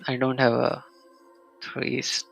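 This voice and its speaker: A man casually commentating a solo game live stream, muttering to himself and reading item text aloud in a halting way. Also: medium pitch, monotone, creaky, fairly bright tone, faint music behind, rough recording.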